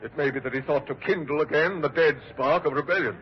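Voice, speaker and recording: Actor as indignant high-pitched oratorical statesman. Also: fast talker, natural voice. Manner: oratorical, emphatic, formal. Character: indignant, grieving statesman, Secretary of the Navy. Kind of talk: 1940s radio acting. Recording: dull, faintly noisy old radio broadcast.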